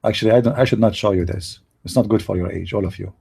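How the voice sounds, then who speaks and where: A man's voice: fairly low pitch, fast talker, gravelly, dark tone, husky. A middle-aged man, hosting a live stream and making sarcastic, joking commentary while talking to his chat. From Middle East. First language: Arabic.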